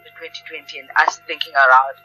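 A woman's voice: low voice, fairly even intonation, fast, lo-fi sound, music in the background. A woman speaking as an interview guest, explaining reflectively and thoughtfully, repeating phrases for emphasis. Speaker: interview guest, theatre practitioner.

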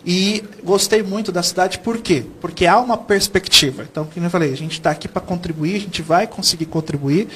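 A man's voice: high voice, fairly quick, hardly any pauses, fairly bright tone, slightly rough. A Brazilian man from São Paulo speaking as a guest educator in a TV interview, explaining earnestly and often posing questions he then answers. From São Paulo state, Brazil.

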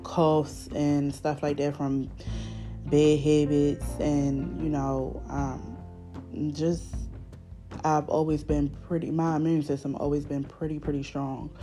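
A woman with a Baltimore accent hosting her podcast, talking freely with a raspy voice from a recent illness, rambling with fillers and restarts. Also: rumbling low, dark tone. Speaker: podcast host. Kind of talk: unscripted casual monologue.

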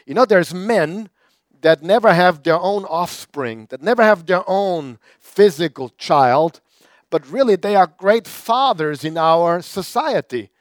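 Male pastor, crisp dry voice. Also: high voice, expressive, measured pace, no echo. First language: German. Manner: conversational preaching with repetitions and fillers. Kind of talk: sermon.

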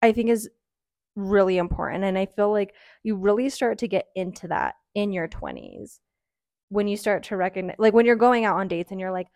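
A young woman in her early twenties chats on her own in a loose, rambling way, often pausing on "um" and "like." Her voice sits at an ordinary middle pitch, and the recording is dry and close with hardly any room sound. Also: lively intonation, darkish tone, no room sound. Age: early 20s. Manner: rambling, frank, many "um"s and "like"s. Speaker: podcaster. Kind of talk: casual solo chat.

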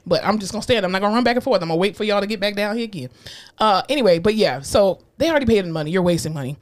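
A woman co-hosts a casual podcast, talking fast with a voice that swings up and down a lot. She is blunt and animated, cursing freely and mixing jokes into her rants. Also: medium pitch. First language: English. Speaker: podcast co-host. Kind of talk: casual chat.

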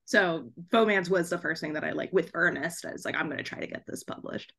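A woman chatting casually as an interview guest, an author who tells stories in an animated, giggly way with lots of "like". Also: lowish voice, fast talker, vocal fry.

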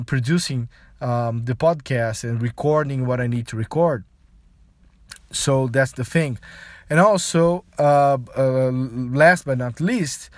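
A man from Brazil, a native Portuguese speaker with a Brazilian accent, hosting a casual solo podcast in a halting, explanatory manner with frequent filler phrases. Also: mid-range voice, no room sound. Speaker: podcast host.

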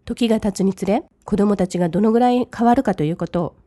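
A woman who teaches English, reading each English line slowly and then translating it briskly. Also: medium pitch, warm tone, no echo, clean studio sound. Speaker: language teacher. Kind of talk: bilingual lesson commentary.

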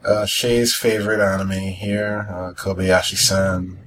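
Man, low voice, casual podcast host, hesitant musing delivery, noticeable background noise. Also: fairly even intonation, a little slow. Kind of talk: casual chat.

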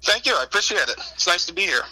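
Middle-aged man with a very bright voice. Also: sits high, sing-song, rapid-fire, slightly rough, poor audio. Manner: wordy, informal, repeats words and says "you know" often. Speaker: interview guest, book co-author. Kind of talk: conversational interview answers, telling anecdotes.

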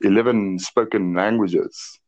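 A man's voice: on the low side, fairly even intonation, a little slow, darkish tone, highs cut off. A South African man in his twenties with a South African accent, chatting eagerly but hesitantly with many "uh" and "um" fillers. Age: 20s. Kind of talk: casual chat.

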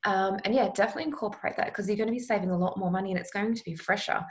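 A woman's voice: medium pitch, rapid-fire. A woman guest on a podcast, talking casually and in a friendly way, with ums and easy repeats. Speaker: podcast guest, meal-planning blogger. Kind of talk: casual interview chat.

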